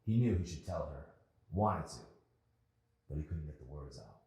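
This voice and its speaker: A man's voice: rumbling low, expressive, moderate pace, dark tone. A man reading a novel aloud as an audiobook narrator. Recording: very echoey, reverberant room.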